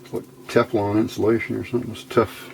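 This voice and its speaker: A man's voice: on the low side, level tone, quick pace, creaky, a little mellow, slight background noise. A man giving a casual hands-on electronics tutorial, muttering to himself in short fragments as he works indoors at a bench. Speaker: electronics hobbyist demonstrating a build. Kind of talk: casual hands-on tutorial, talking while working. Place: indoor workbench.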